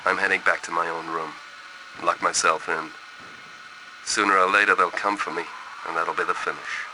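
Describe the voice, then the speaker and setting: A man's voice: sits low, little pitch movement, moderate pace, bright, forceful tone. A man playing a doomed, fatalistic crewman, grim and terse, leaving last words on a noisy recorder in a video game. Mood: fatalistic, resigned. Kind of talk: video game audio log acting.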